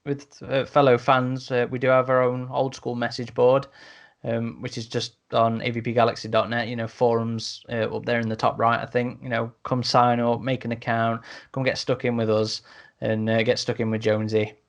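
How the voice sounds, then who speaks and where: A man's voice: medium pitch, completely flat delivery, moderate pace, vocal fry. A man hosting a podcast in a casual, chatty, matey way, speaking informally to listeners. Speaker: podcast host.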